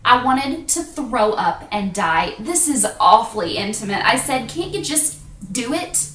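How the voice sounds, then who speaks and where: A woman performing a theatrical monologue as a self-conscious, candid woman confessing intimate things, with dramatic pauses and drawn-out emphasis. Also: big pitch swings, fairly bright tone, a little hiss or hum. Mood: flustered. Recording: echoey room on low-quality webcam.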